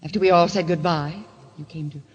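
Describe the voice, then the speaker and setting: Actress as a domineering mistress, muffled and reverberant. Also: female voice, low voice, steady tone, fairly quick, close to an everyday voice. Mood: seductive. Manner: arch, seductive, commanding. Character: sophisticated, domineering mistress and painter, coolly murderous. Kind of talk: old-time radio mystery drama acting. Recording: muffled, reverberant vintage radio recording.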